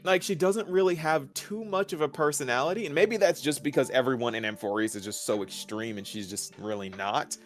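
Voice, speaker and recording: Man chatting over music, mid-pitched. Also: fairly quick, few pauses. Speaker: guest. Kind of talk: casual video game discussion.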